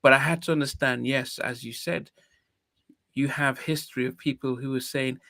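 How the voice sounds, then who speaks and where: A man's voice: mid-range voice, fairly even intonation, moderate pace, vocal fry, bright, forceful tone, no echo. A man in his fifties, from Nigeria, hosting a podcast in a reflective, hesitant way with many restarts. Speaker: podcast host. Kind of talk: casual interview chat.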